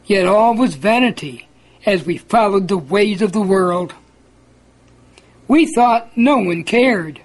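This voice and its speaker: A man reciting a religious poem in a measured, emphatic, sing-song way. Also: high voice. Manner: measured, emphatic, sing-song alternation between contrasting lines.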